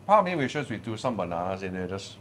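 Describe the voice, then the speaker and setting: A man's voice: sits low, sing-song, fast talker, a bit bright, slight background noise. A man, a co-host making wry quips in a kitchen. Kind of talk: casual chat. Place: commercial kitchen, indoors.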